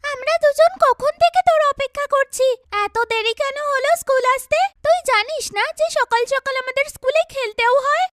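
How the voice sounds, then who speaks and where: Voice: extremely high pitch, moderate pace, few pauses, fairly bright tone, dry recording, studio quality. A performer voicing an earnest, chatty young schoolgirl in a children's cartoon, with exaggerated, emphatic childlike delivery. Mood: playful scolding.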